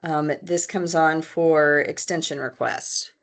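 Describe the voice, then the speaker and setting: A woman's voice: low voice, fairly even intonation, slow pace, vocal fry. A woman chairing a public commission meeting, speaking in a formal, procedural manner.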